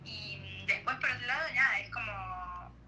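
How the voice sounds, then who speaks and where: A woman's voice: medium pitch, normal speed, noisy background. A young woman from Argentina answering interview questions sincerely, in a rambling, filler-heavy way.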